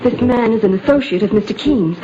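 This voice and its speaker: An actress playing a nervous young secretary in a 1940s radio drama, anxious and breathless. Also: female voice, fairly high pitch, lively intonation, fairly quick, natural voice. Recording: dull lo-fi old radio recording with hiss.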